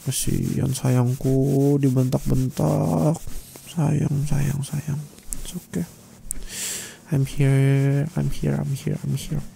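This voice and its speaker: A man playing a gentle, caring husband in an ASMR roleplay, speaking in a tender, coaxing, reassuring way. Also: mid-range voice, level tone, drawn-out words, vocal fry, slight background noise, pitched above the performer's own voice, exaggerated voice. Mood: soothing, apologetic. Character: gentle caring young husband comforting his distressed wife.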